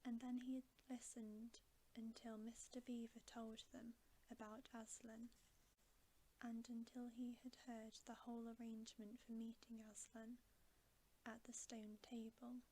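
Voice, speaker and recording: A woman reading a bedtime story for ASMR in a soft, hushed, unhurried whisper. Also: fairly high pitch, flat delivery, rough recording. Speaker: ASMR reader and channel host. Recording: faint background hiss.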